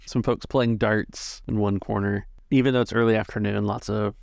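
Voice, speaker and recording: A man's voice: fairly low pitch, fairly even intonation, normal speed, creaky, darkish tone, no echo, studio quality. A man improvising scene narration as game master of a tabletop game. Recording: faint music behind.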